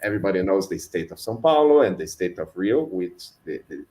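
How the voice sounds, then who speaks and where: A middle-aged man from Brazil with a Brazilian Portuguese accent, a Portuguese native speaker talking as a guest in a relaxed, reflective, self-deprecating way. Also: low voice, brisk. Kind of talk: conversational career talk on a webinar.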